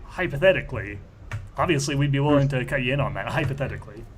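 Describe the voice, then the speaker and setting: A man's voice: medium pitch, lively intonation, fast talker, slight background noise. A man speaking casually and informally in character during a tabletop role-playing game.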